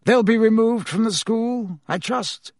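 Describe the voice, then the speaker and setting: Man voicing an elderly wise headmaster, quiet, calm, measured, unhurried, dry close sound. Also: sits high, no echo, voice pushed up. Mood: gently amused.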